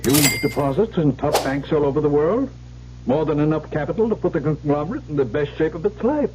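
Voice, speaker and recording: An actor playing an old male family lawyer, proper and cautious, with a measured, fussy delivery. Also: a little high, lightly acted. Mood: concerned. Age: older. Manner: measured, fussy, lively inflection. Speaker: actor in radio drama. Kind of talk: 1970s radio drama acting. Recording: muffled old radio recording, clear hiss.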